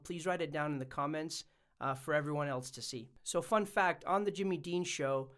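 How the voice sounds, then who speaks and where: A man's voice: on the high side, a bit bright, clean tone. A man presenting a casual explainer vlog as a music production YouTuber.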